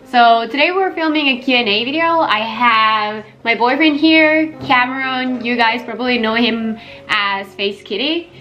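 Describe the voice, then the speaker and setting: A woman's voice: a little high, a little slow, bright, forceful tone, highs cut off. A woman in her twenties from Finland, speaking with a Finnish accent and hosting a casual question-and-answer chat. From Helsinki, Finland. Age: 20s. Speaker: vlog host. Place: small home kitchen, indoors. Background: music.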